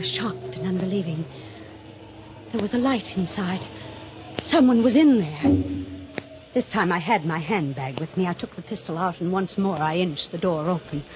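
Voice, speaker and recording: A woman's voice: lowish voice, noisy background, natural voice. An actress playing a secretive, reckless murderess who narrates her own crime with dramatic intensity in 1950s radio mystery style. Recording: dull old radio recording, loud music behind.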